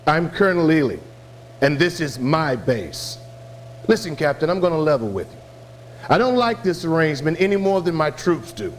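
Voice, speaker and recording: An actor playing a gruff middle-aged colonel who talks bluntly and emphatically in a game cutscene. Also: male voice, on the high side, sing-song, moderate pace, slight background noise. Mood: blunt, stern. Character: gruff, no-nonsense male colonel running a rebel base. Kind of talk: scripted game cutscene acting.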